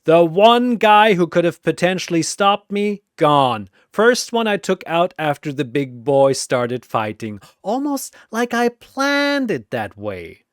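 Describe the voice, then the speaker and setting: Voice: medium pitch, big pitch swings, slow pace, hardly any pauses, no echo, clean studio sound, pitched above the performer's own voice. A narrator voicing a manic, gleeful triangle demon with a mocking, theatrical delivery in an audio story. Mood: gloating.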